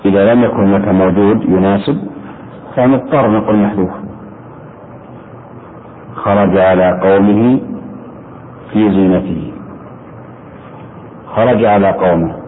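A man's voice: on the low side, measured pace, pauses often. A man teaching Arabic grammar in an interactive class, explaining didactically, repeating his points and putting questions to his students. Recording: muffled phone-like sound with steady background noise.

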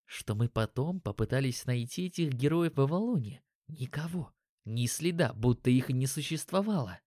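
A woman's voice: fairly low pitch, animated, fairly quick, no echo, clean studio sound, pitched above the performer's own voice, lightly acted. A man voicing a friendly, earnest female elf telling of local events in an audiobook. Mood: hushed, mysterious.

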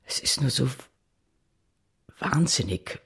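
Husky, creaky, lonely older man. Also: medium pitch, animated, slow pace, a bit bright, exaggerated voice. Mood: downcast, pensive. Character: lonely, subdued older man, weary and unsure. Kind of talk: naturalistic improvised radio drama.

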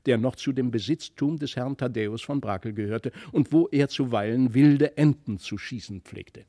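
A man narrating a literary fairy tale in an animated, carefully phrased way. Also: mid-range voice, normal speed, no echo, clean studio sound. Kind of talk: expressive literary storybook narration. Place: studio.

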